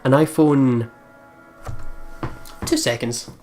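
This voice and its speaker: Casting: informal, chatty game commentator with a middle-pitched voice, swears freely, reads text aloud, soft music behind. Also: drawn-out words. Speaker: let's play host. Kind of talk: casual game commentary mixed with reading aloud.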